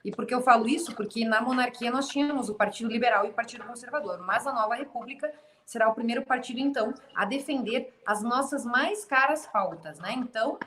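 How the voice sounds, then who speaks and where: A woman's voice: fairly high pitch, fast talker, a bit bright, a little hiss or hum. A woman from Santa Catarina, Brazil, a congresswoman guest speaking earnestly on a livestream and listing her points. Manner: earnest, lists points, some hesitations. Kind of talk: political livestream talk.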